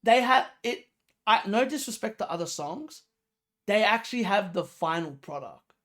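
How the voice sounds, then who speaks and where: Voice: medium pitch, animated, normal speed, fairly bright tone. A reaction video host giving casual, slangy music commentary, emphatic and repetitive, often throwing out rhetorical questions. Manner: informal, slangy.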